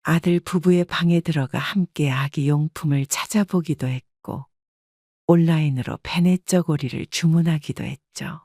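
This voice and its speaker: A woman narrating her own family story in the first person, calm and reflective. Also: low-pitched, normal speed, dry recording, clean studio sound.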